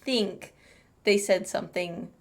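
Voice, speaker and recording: A woman's voice: medium pitch, slow. A woman hosting a gameplay video with casual, chatty commentary and wry asides. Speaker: gaming channel host. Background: game music.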